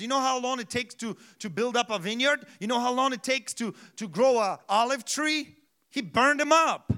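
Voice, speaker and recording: A man preaching a sermon as pastor, his voice rising to loud, emphatic shouts and falling quiet, with frequent restarts and slightly broken English. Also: very high-pitched.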